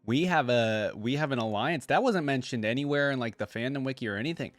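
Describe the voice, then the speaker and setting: A man's voice: mid-range voice, fast talker. A man hosting a reaction video, musing aloud in informal, casual commentary.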